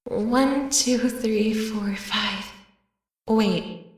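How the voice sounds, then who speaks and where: A woman's voice: fairly high pitch, very slow, breathy edge, full character voice. A woman playing a polite, earnest teenage girl, the protagonist of an audio drama. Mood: uneasy. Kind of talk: audio drama acting and narration.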